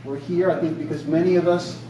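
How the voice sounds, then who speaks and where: A man's voice: on the high side, steady tone, a little fast, lo-fi sound, a crowd in the background. An older man from New York speaking up at a community meeting in a plainspoken, emphatic way, often repeating his points. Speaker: community member. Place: large echoey meeting room. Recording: echoey room, distant mic, background hum.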